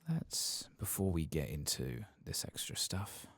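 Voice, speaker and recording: Man, soft-spoken ASMR gamer, extremely low pitch, husky, unhurried, whispery murmur, close mic. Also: lively intonation, no room sound. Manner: murmuring, often slipping into whispers. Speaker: ASMR game streamer. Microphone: close microphone.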